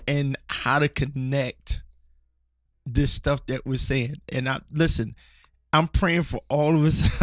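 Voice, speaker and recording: A man's voice: mid-range voice, no room sound, studio quality. A man from Philadelphia co-hosting a podcast, ranting passionately about church culture and often restarting his sentences. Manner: passionate, rambling, many restarts. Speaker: podcast co-host. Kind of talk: casual church-culture chat and rant. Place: studio table with co-host.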